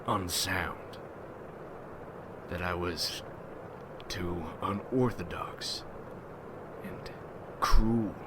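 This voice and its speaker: A man acts out a gruff, brooding leader of a vampire hunters' guild in an ASMR roleplay. His voice is low and he takes his time, rough-spoken and folksy, wary and ruthless. Noticeable background ambience runs behind him. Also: noisy background. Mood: bitter.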